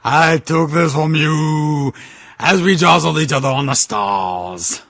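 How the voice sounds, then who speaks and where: A man voicing a menacing, vengeful undead giant wrestler, speaking in an ominous, deliberate way in a mock-dramatic fan fiction reading. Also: fairly high pitch, gravelly, a bit bright, dry recording, clean studio sound, heavily acted.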